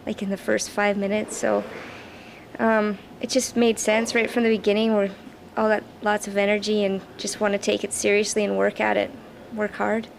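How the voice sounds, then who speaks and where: A young Canadian woman with a young-sounding voice, the bassist of a rock band, giving earnest, casual answers in an interview. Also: little pitch movement, normal speed, vocal fry. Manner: earnest, laughs easily. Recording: raw camcorder audio with background noise.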